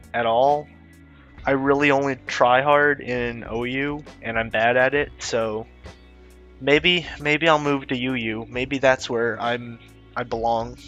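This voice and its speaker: A man's voice: medium pitch, a bit bright. A young man with a head cold, sounding stuffy, giving rambling, offhand casual commentary on his game team with self-mocking asides. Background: faint music.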